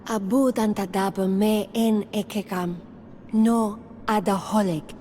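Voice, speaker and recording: A woman's voice: medium pitch, fairly even intonation, slow, dark tone, close to an everyday voice. An actress playing a young native islander woman in a fantasy video game cutscene. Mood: solemn. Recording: reverberant space with faint ambient noise.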